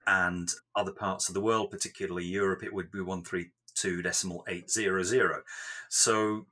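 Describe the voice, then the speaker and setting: Male tech interviewee, deep register with a forward, bright edge, explaining carefully and in measured phrases. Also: little pitch movement. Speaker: software developer being interviewed.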